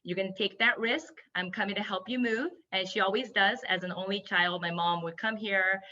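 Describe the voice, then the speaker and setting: Female guest speaker for a webinar, Japanese native, bright and enthusiastic delivery, slightly muffled online-call sound. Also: mid-range voice, fairly even intonation, moderate pace. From Yokohama, Japan. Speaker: guest speaker, hospitality entrepreneur. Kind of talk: webinar presentation. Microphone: video call.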